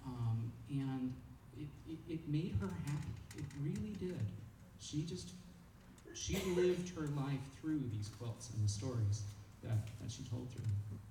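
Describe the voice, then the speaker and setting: Man, hesitant informal recollection, distant echoey recording, clear background noise. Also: mid-range voice, lively intonation, measured pace, warm tone. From California, United States. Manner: hesitant, searching for words. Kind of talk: informal reminiscing, answering questions. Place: echoey meeting room. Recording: echoey, far mic, noisy room.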